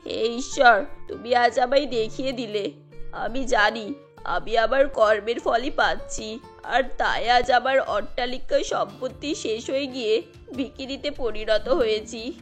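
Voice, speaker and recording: A woman voicing a sorrowful, humble old beggar grandmother in a cartoon, with slow, weary, resigned speech. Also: a little high, faint music behind, pitched below the performer's own voice, close to an everyday voice. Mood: remorseful. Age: older.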